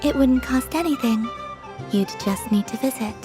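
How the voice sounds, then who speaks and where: A woman voicing a serene, childlike moon goddess in a video game, speaking softly and literally in a dreamy way. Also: fairly high pitch, close to an everyday voice. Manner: soft, slow, dreamy and literal. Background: game soundtrack music.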